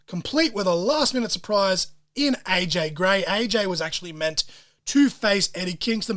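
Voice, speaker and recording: A man hosting a solo podcast, talking in an excitable, profane way full of wrestling-fan slang. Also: sits high. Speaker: podcast host.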